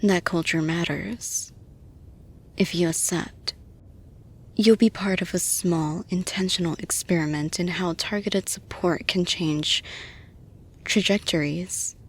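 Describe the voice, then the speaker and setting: A woman playing a caring, persuasive boss in an intimate audio roleplay, soft-spoken and breathy, often dropping to a whisper, deliberate and reassuring. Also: on the low side, exaggerated voice. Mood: calm, earnest. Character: caring, persuasive female boss offering support to employee.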